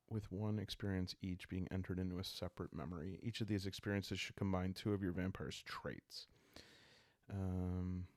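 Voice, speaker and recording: A man calmly narrating a solo journaling game, soft-spoken and musing, halting as he improvises aloud. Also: deep voice, no room sound, studio quality. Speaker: solo roleplayer and show host.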